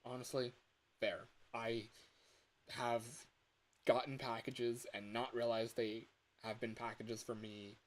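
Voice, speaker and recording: A man streamer chatting casually, thinking aloud in a halting, rambling way. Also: mid-range voice, flat delivery, rough recording. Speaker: livestreamer and fangame developer. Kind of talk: casual livestream chat. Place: livestream at a computer.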